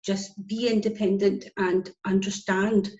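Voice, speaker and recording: A woman's voice: mid-range voice, flat delivery, unhurried, darkish tone, highs cut off. A woman from Scotland with a Scottish accent, a guest talking business in a casual video interview, upbeat and emphatic, often repeating her key words.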